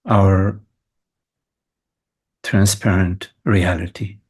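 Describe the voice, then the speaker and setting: A low-pitched man leads a guided meditation. He speaks very slowly, in short phrases with long pauses between them. His voice has a husky, breathy grain. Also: flat delivery, a little mellow. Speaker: meditation teacher.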